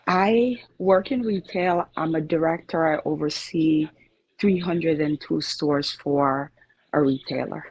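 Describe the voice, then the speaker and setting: A woman's voice: low voice, takes it slowly, a little mellow, breathy edge, rough recording. A tipsy woman in her forties with a Jamaican accent, chatting casually as a caller answering questions. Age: 40s. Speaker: caller on a dating show, retail director.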